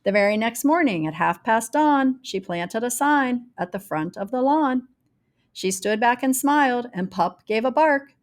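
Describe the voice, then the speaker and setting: A woman reads a rhyming children's storybook aloud, telling it in a dramatic, deliberate way with varied emphasis. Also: on the high side, animated. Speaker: storybook reader. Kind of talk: expressive read-aloud of a rhyming children's picture book.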